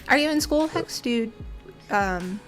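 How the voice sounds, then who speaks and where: A woman's voice: on the high side, expressive, measured pace, faint music behind. A young woman from the United States hosting a casual streamed interview, chatty and giggly with lots of fillers. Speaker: podcast host.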